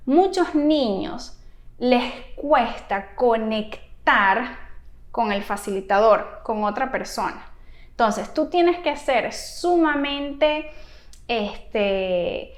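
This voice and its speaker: High-pitched woman in an echoey room. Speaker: therapist giving advice to parents. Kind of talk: explanatory video advice to camera. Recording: roomy, echoey room sound.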